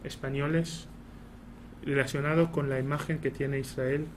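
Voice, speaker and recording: A man, a non-native Spanish speaker with an Argentine Spanish accent, gives a prepared public talk, pausing often on "eh" and restarting phrases. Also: mid-range voice, fairly even intonation. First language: Hebrew. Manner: halting, many "eh" fillers and restarts. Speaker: embassy spokesperson giving a talk. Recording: noisy room recording.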